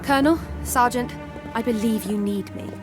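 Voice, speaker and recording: Woman as a high-voiced, determined maid. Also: moderate pace, music in the background, lightly acted. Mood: insistent. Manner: formal, earnest, insistent. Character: determined, proper young female household servant, insistent. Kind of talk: period audio drama.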